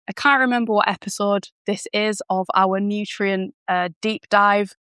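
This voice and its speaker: A woman who is unwell and croaky, explaining a topic in a chatty, informal way as the host of a channel. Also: mid-range voice, steady tone, normal speed, bright, forceful tone, dry recording, clean studio sound. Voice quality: gravelly. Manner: chatty, informal, with fillers and self-corrections. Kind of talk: educational explainer.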